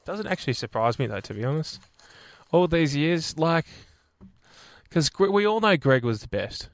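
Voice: medium pitch, lively intonation, moderate pace, no room sound. A radio co-host delivering news in a teasing, matter-of-fact way. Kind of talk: casual radio banter.

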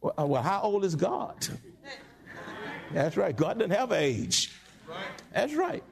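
A male pastor preaching a sermon in church, with call-and-response and sudden high-pitched asides. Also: sing-song, slow, vocal fry. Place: church sanctuary.

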